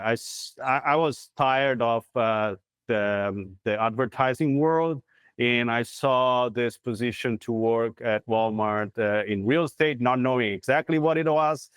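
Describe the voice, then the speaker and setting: A man in his forties from Brazil with a Brazilian Portuguese accent, speaking English in a video call interview, halting and searching for words with many fillers. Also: mid-range voice, fairly bright tone, clear, resonant tone, no room sound. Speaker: guest, corporate real estate head. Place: plain indoor room. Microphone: lapel mic on video call.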